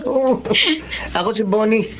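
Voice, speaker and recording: A man's voice: high voice, a little slow. A man playing a jovial, nudging best buddy in a Filipino radio drama, with a Filipino accent. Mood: teasing. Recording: noisy ambience under the voice.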